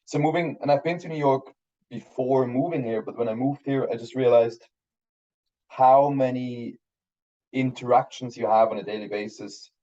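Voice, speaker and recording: A man's voice: medium pitch, moderate pace, warm tone, muffled recording. A Swiss man in his thirties whose first language is German, chatting casually in an interview with many restarts and fillers. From Switzerland. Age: 30s. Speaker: guest filmmaker.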